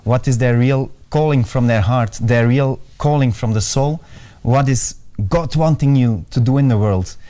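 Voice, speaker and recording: A man whose first language is Dutch, speaking calmly and thoughtfully as an interview guest. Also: mid-range voice, moderate pace, few pauses. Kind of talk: casual interview.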